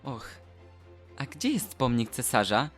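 Audio drama role: naive stone boy, played by a man, curious and a little timid, swooping high intonation, music underneath. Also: sing-song, takes it slowly, fairly bright tone.

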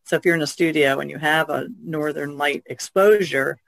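A woman's voice: deep voice, steady tone, moderate pace, creaky, dry recording. A woman speaking casually as a panelist in a podcast conversation.

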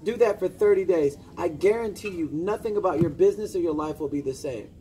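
High-voiced, emphatic male coach. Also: brisk, mellow. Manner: emphatic, directive, with short commands and rhetorical questions. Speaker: personal development coach. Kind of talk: informal motivational coaching talk to a small group. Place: indoor lounge, seated among a small group. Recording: noisy room with ambient hum.

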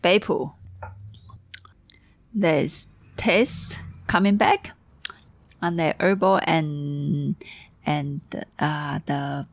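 A tired woman leads a tea tasting, halting and searching for words. Her voice sits low with a gravelly edge. The recording is dry and close, with no room sound. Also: steady tone, dry recording. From Taiwan. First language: Taiwanese. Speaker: tea teacher.

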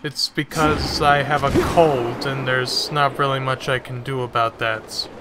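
A young man with a stuffy nose giving slow, halting casual commentary on a game from his bedroom. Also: medium pitch, fairly even intonation. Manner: slow, halting, self-correcting chatter. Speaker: gaming channel host. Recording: noisy home recording.

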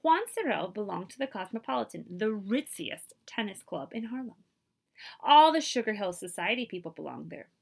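An expressive woman reads a storybook aloud indoors. Her pitch is lively and varied, sitting in a middle register. She adds asides and questions for young listeners. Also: moderate pace, gravelly, slightly rough. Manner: animated, asks listeners questions. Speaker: librarian reading aloud to children. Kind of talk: expressive picture-book read-aloud with asides. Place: small room indoors.

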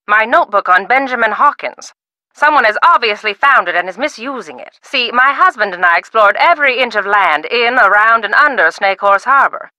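An actress voicing a secretive, eccentric older widow in a video game, speaking wryly with dramatic pauses. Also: female voice, a little high, lively intonation, sharp tone, dry recording, low-quality recording. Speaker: game character.

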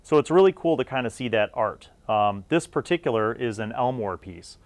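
A man's voice: medium pitch, animated, normal speed, dry recording. A middle-aged man hosting a video series, talking to viewers in a conversational, emphatic way with trailing asides. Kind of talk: retrospective review talk to viewers.

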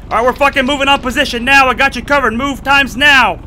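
A man's voice: very high-pitched, steady tone, fast talker, pushed and bright. Male gamer in police roleplay, clipped radio calls; noisy game audio behind.

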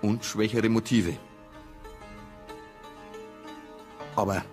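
Actor doing bavarian cop guy, dogged n brooding, lowish voice, radio play w music under it. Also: steady tone, normal speed, darkish tone, natural voice. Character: dogged, suspicious Bavarian police investigator. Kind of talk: radio crime drama dialogue. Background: background music.

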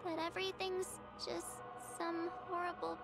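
A cute anime game girl with an extremely high, girlish voice. She speaks slowly, earnest and tentative, over clear game music. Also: level tone, heavily acted. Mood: tentative, hopeful. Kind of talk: anime video game voice acting.

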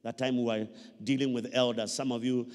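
A man's voice: medium pitch, flat delivery, brisk, a little mellow. A middle-aged Kenyan man preaching a sermon with emphatic repetition and questions to his listeners, speaking into a handheld microphone. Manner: emphatic, repeats phrases, prompts listeners with questions. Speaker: pastor preaching. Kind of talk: sermon with call-and-response questions. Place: large church hall, indoors. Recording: reverberant hall PA sound.

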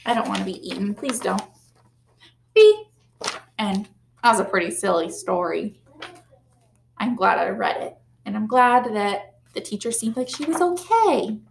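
A young woman teacher reading a picture book aloud to children, animated and playful, with big gasps and leaps in pitch. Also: a little slow, gravelly, pauses often, a little hiss or hum. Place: small classroom, indoors. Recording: echoey classroom.

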